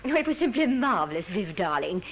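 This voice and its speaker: Casting: effusive party wife, medium pitch with wildly swooping intonation, vintage radio drama. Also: female voice, sing-song, brisk, dull audio. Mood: gushing. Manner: effusive, affected. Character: gushing society wife at a cocktail party. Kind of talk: old-time radio drama acting.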